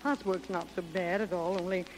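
A woman's voice: lowish voice, fairly even intonation, a little fast, natural voice, rough recording. A woman playing a weary housewife fretting over chores in a 1930s radio commercial. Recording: old radio recording, faint noise.

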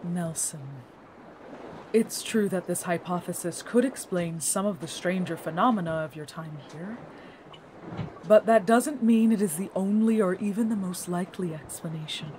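A woman's voice: on the low side, moderate pace, background noise, natural voice. A woman playing a thoughtful, kind young woman in a fantasy audio drama, formal and articulate. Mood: gentle, reasoned.

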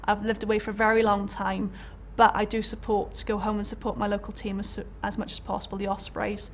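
Welsh woman lecturer chatting away in an interview, lots of ums and restarts, mid pitch, faint room hum. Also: monotone, fairly quick. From Wales. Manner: chatty, candid, many fillers and restarts. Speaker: college lecturer. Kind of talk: career interview. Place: indoor college room.

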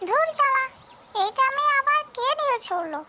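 A woman's voice: very high voice, animated, moderate pace. A woman voicing a female tree ghost in a cartoon fairy tale, with colloquial, exclamatory speech. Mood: exasperated grumbling. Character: female tree ghost, regretful, easily exasperated, childlike high voice. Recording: noisy, birdsong ambience behind.

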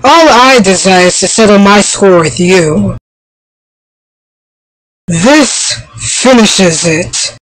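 A woman's voice: expressive, slow pace, pauses often. A woman voicing a proud, stern young samurai warrior woman for a video game, with formal, haughty delivery on an amateur microphone. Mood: resolute. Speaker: amateur voice actor. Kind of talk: video game character voice reel. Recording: low-quality amateur microphone.

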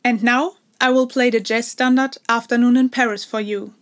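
A woman's voice: fairly high pitch, fairly even intonation, normal speed. A woman in her twenties from Germany, a violinist, introducing herself to camera at home. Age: 20s. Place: small room at home.

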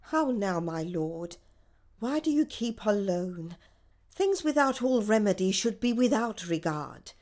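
A woman's voice: mid-range voice, sing-song, measured pace, darkish tone. A woman playing a commanding, scheming queen in a classical Shakespearean radio drama. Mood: steadying, firm.

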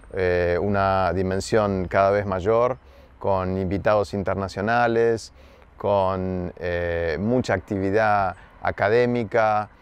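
A man, a theatre director speaking to camera in a garden, thoughtful with pauses and fillers. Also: fairly low pitch, smooth. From Argentina. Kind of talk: interview to camera. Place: garden outdoors. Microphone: close camera framing.

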